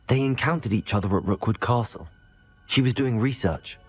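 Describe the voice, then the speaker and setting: A man's voice: mid-range voice, moderate pace, dry recording, faint music behind, close to an everyday voice. A man playing an earnest, resolute young student wizard in a video game, polite in his way of talking. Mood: earnest, inquisitive. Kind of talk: video game cutscene acting.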